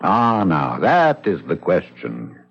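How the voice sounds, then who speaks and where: An actor playing a measured, curious chief magistrate in an old radio drama, with courtly, deliberate, theatrical phrasing. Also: male voice, low voice, huge pitch swings, creaky, a bit bright, husky, heavily acted. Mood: musing. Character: measured, curious Corsican chief magistrate telling his tale. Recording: muffled old radio broadcast, music behind.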